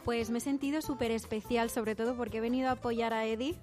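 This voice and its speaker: A woman's voice: on the high side, fairly even intonation, fast talker, darkish tone. A woman from Spain with a Spanish accent, an upbeat interview guest who restarts phrases as she talks. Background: music playing.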